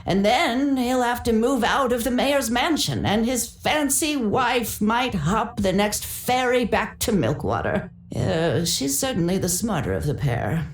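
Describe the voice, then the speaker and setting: A woman's voice: a little high, very animated, measured pace, hardly any pauses. A woman voicing a folksy, affectionate, wryly gossipy townswoman in a video game. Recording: roomy sound, faint background noise.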